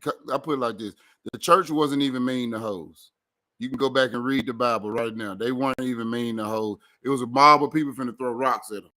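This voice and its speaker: A comedian from Memphis with a strong Memphis accent spins jokes as co-host of a podcast. His voice is bright and forceful, at a middle pitch for a man. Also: a little fast. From Memphis, Tennessee. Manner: animated joke-telling storyteller. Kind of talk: casual comedic podcast chat.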